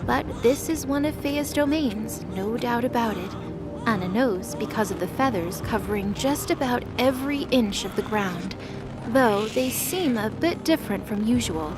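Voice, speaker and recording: A woman with a fairly high voice narrates a dramatic story from a script. Music plays clearly behind her narration. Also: expressive, normal speed.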